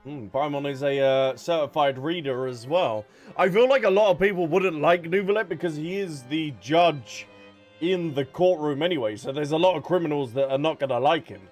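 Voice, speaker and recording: A man's voice: fairly high pitch, moderate pace, darkish tone. A man casually commentating a game on a livestream in a playful, chatty way, sometimes reading text aloud. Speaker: game streamer. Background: game music.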